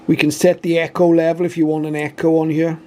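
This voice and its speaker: British man, flat delivery, slightly high voice. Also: quick pace, slight background noise. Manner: matter-of-fact, methodical step-by-step explaining. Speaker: radio reviewer and demonstrator. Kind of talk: informal product demonstration.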